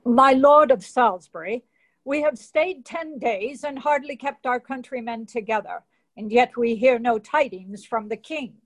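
A woman's voice: fairly high pitch, a little slow. A woman reading the part of a male Welsh captain, grim and foreboding. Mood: grave, foreboding. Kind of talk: reading a play aloud.

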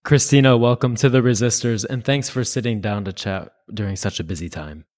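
A man's voice: lowish voice, a little fast, a little mellow, dry recording, studio quality. A man hosting a podcast, reading a set introduction and then asking his guest questions. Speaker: podcast host.

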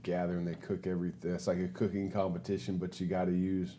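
Male podcast host, casual, profane, curious questions, warm dark tone. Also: deep voice, monotone, fast talker, a little hiss or hum.

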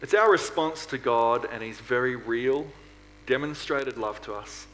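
A man's voice: mid-range voice, unhurried, a bit bright, low-quality recording. Male preacher with noticeable background noise. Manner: earnest, with rhetorical questions. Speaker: preacher at a church. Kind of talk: sermon to a congregation.

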